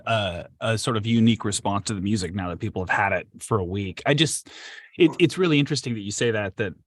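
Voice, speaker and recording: A man's voice: fairly low pitch, quick pace, no room sound. A man hosting an interview, asking long, earnest, thoughtful questions that wander before reaching their point. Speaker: interview host. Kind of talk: conversational interview.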